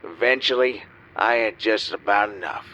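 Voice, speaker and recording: An actor playing a cynical, down-and-out gambler narrating a noir radio play in a wry, world-weary way. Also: male voice, lowish voice, moderate pace, bright, forceful tone. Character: down-and-out cynical male gambler and con man. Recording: dull, slightly lo-fi.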